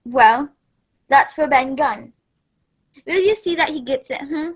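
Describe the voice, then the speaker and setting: Genteel fatherly doctor for radio play, woman in the male role: avuncular, high-pitched, unhurried. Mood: kindly confiding. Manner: avuncular, measured.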